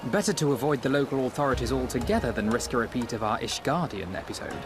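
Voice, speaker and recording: An actor playing an erudite, courteous gentleman in a video game, with formal, precise phrasing. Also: mid-range voice, quick pace, music in the background, close to an everyday voice. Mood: polite, dry. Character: courteous, erudite, wordy young gentleman scholar. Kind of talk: dramatic video game cutscene acting.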